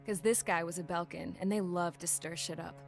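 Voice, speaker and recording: A woman's voice: lowish voice, fairly even intonation, a little fast, creaky. An actress playing a blunt, streetwise young woman who narrates a war story in a game, casual and matter-of-fact. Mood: wry, matter-of-fact. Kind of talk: scripted dramatic narration. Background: music.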